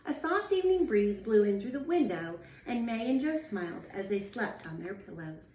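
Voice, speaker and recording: A woman, a teacher in her thirties, reading a children's picture book aloud at home in a sing-song, expressive way. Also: mid-range voice, moderate pace, slight background noise. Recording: echoey room, voice a little far from the mic.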